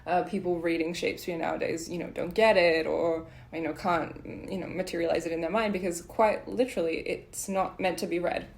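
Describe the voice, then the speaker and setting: A woman's voice: lowish voice, steady tone, fairly quick, vocal fry, hardly any pauses. A young woman hosting a casual chat, agreeable and playful as she chimes in. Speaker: podcast host.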